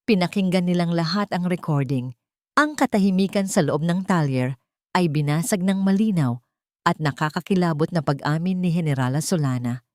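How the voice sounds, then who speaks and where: Low-voiced woman narrating dramatic fiction. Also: moderate pace, darkish tone, no room sound, clean studio sound. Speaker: story narrator. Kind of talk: scripted dramatic narration of a romance story.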